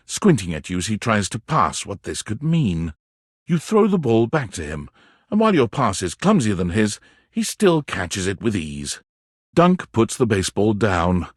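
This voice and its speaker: A man playing a wry, theatrical narrator of a playful dating game, telling scenes in the second person with expressive, dramatic delivery. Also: fairly low pitch, no echo, clean studio sound. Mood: gentle storytelling.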